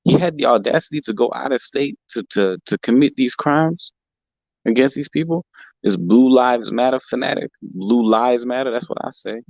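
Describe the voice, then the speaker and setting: Gravelly, heated man ranting on a livestream. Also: medium pitch, normal speed, darkish tone, no room sound, dull audio. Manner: heated, profane, repeats himself, slangy. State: voice a bit rough from allergies. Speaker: livestream host. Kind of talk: casual livestream rant. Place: home in California.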